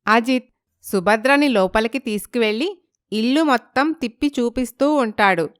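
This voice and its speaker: A woman's voice: a little high, normal speed, no room sound, clean studio sound. A woman reading a scripted dramatic story as its narrator.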